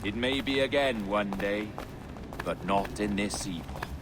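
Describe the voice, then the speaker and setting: An actor playing a cryptic, worldly pirate sage in a video game, speaking in eloquent, measured phrases with cold amusement. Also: male voice, on the low side, expressive, noisy background. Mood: grave, knowing. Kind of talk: video game cutscene acting.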